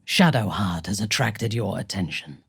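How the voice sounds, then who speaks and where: A woman voicing a ruthless, haughty dark elf warrior commander in a video game, speaking in an imperious, formal, menacing way. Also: a bass voice, lively intonation, moderate pace, slightly rough, no echo, studio quality, full character voice. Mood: cold, imperious.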